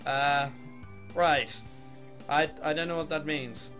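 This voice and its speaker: A man's voice: a little high, steady tone, slow, fairly bright tone, soft background music. A man doing a let's play of a visual novel, narrating and throwing in sarcastic, mock-exasperated asides. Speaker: gamer reading and commenting on a visual novel.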